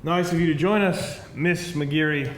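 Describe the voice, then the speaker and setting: An intimidating boss, acted by a man in a staged reading talks curtly with impatient sarcasm. His voice sits a little high for a man. The room is echoey with faint noise. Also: a little slow, lightly acted. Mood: sarcastic. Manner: curt, sarcastic. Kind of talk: live screenplay table read. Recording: roomy live stage recording, faint noise.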